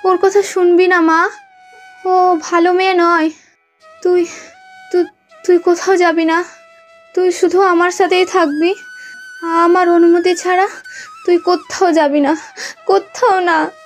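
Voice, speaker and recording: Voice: very high voice, little pitch movement, slow, long pauses, soft background music. A performer voicing a frantic, possessive, grieving village mother in a cartoon, pleading tearfully and repeating herself. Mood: tearful, desperate. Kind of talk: cartoon melodrama acting.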